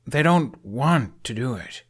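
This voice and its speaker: A man voicing a grown young dragon rider in an audiobook, wry, earnest and a little awkward, speaking in a halting, emphatic way. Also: mid-range voice, expressive, fairly bright tone, husky, voice pushed up, exaggerated voice. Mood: urgent, insistent.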